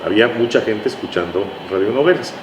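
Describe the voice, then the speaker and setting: A man's voice: on the low side, animated, brisk. A man with a Mexican accent from Tabasco, an interview guest reminiscing conversationally and often searching for words. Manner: hesitant, searching for words, repeats phrases. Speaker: interview guest, writer and radio drama veteran. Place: indoors in a bookstore. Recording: roomy echo, faint background noise.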